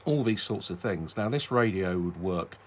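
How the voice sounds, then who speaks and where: Deep-voiced British man, rambling casually. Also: animated, normal speed, a little hiss or hum. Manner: rambling, self-interrupting, hesitant. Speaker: hobbyist video presenter. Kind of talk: casual unscripted demonstration.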